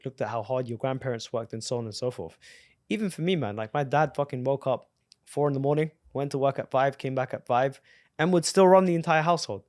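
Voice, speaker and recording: Profane, excitable podcast host. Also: male voice, medium pitch, no echo, clean studio sound. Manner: enthusiastic, profane, bro-talk. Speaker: podcast host and business coach. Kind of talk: casual interview conversation.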